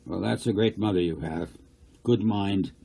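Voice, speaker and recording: Storybook farmer, acted by a man: older, plain-spoken, curious, husky and mellow, with rustic turns of phrase. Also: deep voice, measured pace, full character voice. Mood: kindly. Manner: folksy dialect, musing.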